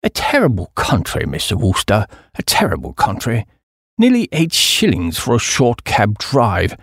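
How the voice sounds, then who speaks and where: A stingy, pompous old British duke, put on by an audiobook reader, a man. He grumbles with aggrieved grandeur in a creaky, husky voice, recorded close and dry. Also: on the low side, huge pitch swings, moderate pace, fairly bright tone, no room sound, heavily acted. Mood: aggrieved, astonished. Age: older.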